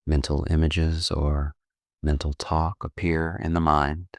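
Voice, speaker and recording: A man leading a guided meditation as a coach, calm and unhurried, gently instructive with long pauses. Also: rumbling low, no room sound.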